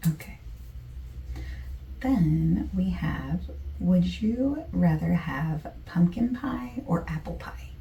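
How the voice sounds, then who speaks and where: A woman playing a friendly, easygoing survey taker in a softspoken, partly whispered ASMR roleplay, with gentle, chatty asides. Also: lowish voice, animated, slow pace, reverberant, far from the mic, dull audio, slight background noise, heavily acted. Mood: gentle, casual. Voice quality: soft, breathy, partly whispered.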